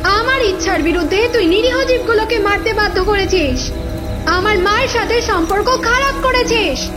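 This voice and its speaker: A woman playing a furious, vengeful young woman, shouting a tirade in a dramatic audio story. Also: very high voice, normal speed, over loud music.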